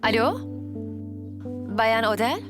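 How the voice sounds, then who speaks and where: A woman's voice: a little high, sing-song, slow, music in the background. A woman voicing a helpful, reassuring school secretary in a children's cartoon dub.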